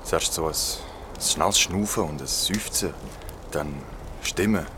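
A man's voice: deep voice, unhurried, noisy background. An actor playing a shaken young man with Swiss German speech, confiding his mountain ordeal in slangy radio play narration. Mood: tense, shaken. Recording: loud music behind the voice. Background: music.

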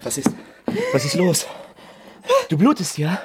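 An actor playing a besotted, gullible boyfriend in a radio crime drama, unsure of himself and stammering. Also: male voice, fairly high pitch, very animated, measured pace, background noise. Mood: reluctant, concerned.

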